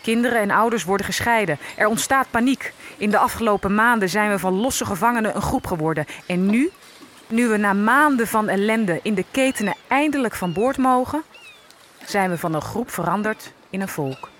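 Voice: mid-range voice, moderate pace, fairly bright tone, a little hiss or hum, lightly acted. An actor playing a freed African captive, weary and shaken, narrating a radio drama in the first person. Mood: weary, relieved.

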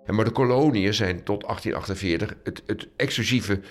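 A male historian gives an explanation in an interview. His voice is low, and he speaks emphatically, revising his phrases as he goes. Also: fairly quick, faint music behind.

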